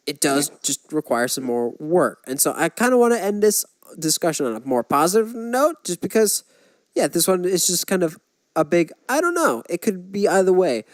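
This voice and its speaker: A man with a slightly high voice chats casually as a podcast host. He is opinionated but conversational, and the sound is close and dry, free of room echo. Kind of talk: casual chat.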